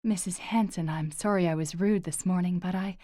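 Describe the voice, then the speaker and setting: A woman's voice: fairly low pitch, steady tone, normal speed, dark tone, natural voice. A woman voicing a defensive, eager young woman who protests. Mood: protesting.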